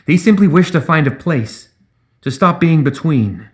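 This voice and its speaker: A calm, proud female resurrectionist from a fantasy audiobook, performed by a man. Her raised voice rings out in measured oratory, with a cold edge beneath it. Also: a little high, pitched above the performer's own voice. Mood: earnest appeal. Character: proud, calm woman resurrectionist pleading for undead.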